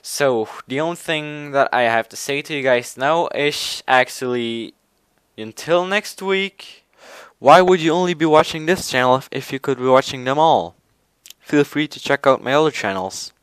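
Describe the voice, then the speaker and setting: Halting Belgian man with a Dutch accent. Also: mid-range voice, a bit bright, clean tone, no echo. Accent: Dutch-speaking Belgian. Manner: halting, many restarts and simple phrasing. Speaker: gaming channel host. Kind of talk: casual tutorial commentary.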